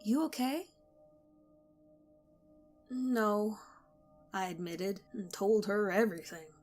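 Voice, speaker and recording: A woman reads a first-person scary story in a measured, intimate way, with a middle-pitched voice. Soft music plays faintly behind her the whole time. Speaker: narrator. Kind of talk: scripted narration of a horror story.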